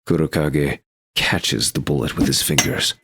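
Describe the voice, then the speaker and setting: A man's voice: extremely low pitch, fairly even intonation, unhurried, background noise. A man reading scripted narration for an audio drama, describing the action as narrator.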